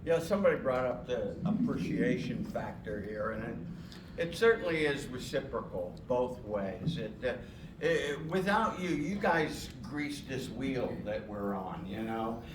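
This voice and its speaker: An earnest man talks slowly and gratefully at a convention panel. His voice is far from the mic and echoes through the hall, with noise underneath. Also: mid-range voice, sing-song. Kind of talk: panel talk.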